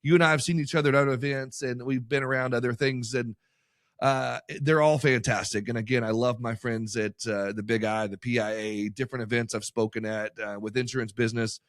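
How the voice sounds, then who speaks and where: Enthusiastic podcast host. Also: male voice, mid-range voice, steady tone, a little fast, no room sound, studio quality. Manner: enthusiastic, rambling with fillers. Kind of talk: podcast interview and ad reads.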